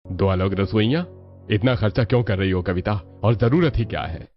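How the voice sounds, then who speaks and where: A skeptical middle-aged husband from a cartoon family tale, acted by a man. His voice is pitched down, measured and deep. The audio is dull with music beneath. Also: natural voice. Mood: doubtful, resigned. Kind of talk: Hindi cartoon story dialogue.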